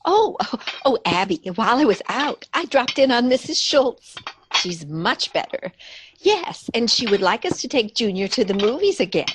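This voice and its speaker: An actress playing a sweet, dotty elderly aunt in a comedy radio play, genteel and cheerfully innocent. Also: female voice, a little high, big pitch swings, moderate pace, background noise. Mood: chatty, fluttery. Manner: genteel, chirpy, soothing. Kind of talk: stage comedy radio theatre acting.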